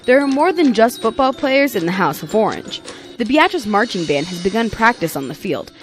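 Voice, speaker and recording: A woman's voice: a little high, very animated, moderate pace. An American woman reporter narrating a scripted local TV news story. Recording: music playing behind the voice. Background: music.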